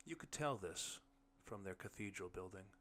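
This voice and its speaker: A man's voice: deep voice, expressive, moderate pace, a bit bright. A man playing an awkward, unsure ordinary man in an audiobook, hesitant and searching for words as he explains. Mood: fumbling, earnest. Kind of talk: audiobook character dialogue.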